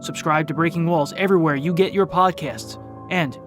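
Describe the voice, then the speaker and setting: A man's voice: on the high side, normal speed. A man reading a scripted podcast promo as its announcer. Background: music.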